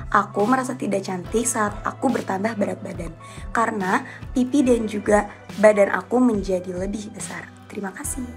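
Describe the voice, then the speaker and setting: A 20-year-old woman introducing herself in a personal testimonial. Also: mid-range voice. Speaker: student and freelancer.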